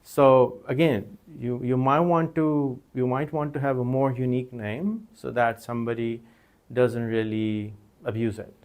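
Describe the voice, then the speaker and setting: A man's voice: mid-range voice, steady tone, unhurried, a little mellow. A man whose first language is Urdu, teaching an informal recorded lecture in a conversational way, with many fillers and restarts. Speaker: course instructor.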